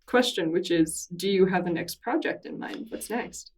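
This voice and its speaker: A woman's voice: fairly low pitch, normal speed, recorded from a distance. A woman hosting a podcast, asking friendly interview questions and laughing easily.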